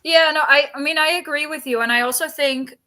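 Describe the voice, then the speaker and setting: A woman with a rather high, very bright voice hosts a relaxed book discussion. She talks informally and reflectively, offering opinions in a tentative way. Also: fairly quick. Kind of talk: casual book chat.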